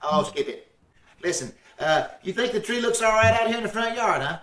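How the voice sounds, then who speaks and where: A man's voice: high-pitched, lively intonation, a little fast, pushed and bright. A man playing a folksy, good-natured, slightly hesitant fellow in an old-time radio comedy, recorded over a video call. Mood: patient, mildly gruff. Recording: distant, echoey video-call sound.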